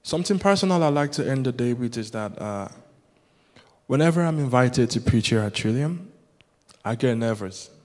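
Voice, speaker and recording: A man's voice: medium pitch, lively intonation, moderate pace, a little mellow. A man whose first language is Yoruba, preaching a sermon as a guest preacher in an earnest, rhythmic way that builds with repetition. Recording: long hall reverb.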